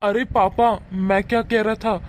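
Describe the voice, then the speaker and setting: A man voicing a devoted adult son, earnest and protective of his family, in an animated moral story. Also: sits high, a little slow, a little hiss or hum. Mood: pleading.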